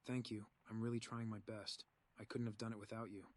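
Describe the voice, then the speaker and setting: A man's voice: lowish voice, level tone, fairly quick, lightly acted. An actor playing a troubled young man haunted by recurring dreams, speaking in a subdued, hesitant way. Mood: wistful. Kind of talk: voiced game dialogue.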